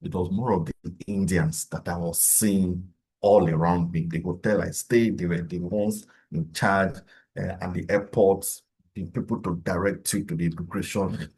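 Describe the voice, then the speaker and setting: Deep husky man giving a talk. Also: steady tone, a little mellow, poor audio. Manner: measured, explanatory, with frequent fillers and restarts. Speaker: webinar speaker. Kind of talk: webinar talk.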